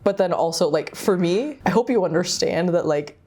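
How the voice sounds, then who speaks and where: A young adult woman interviewing casually as a co-creator, effusive and animated in the way she tells things. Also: fairly low pitch, moderate pace.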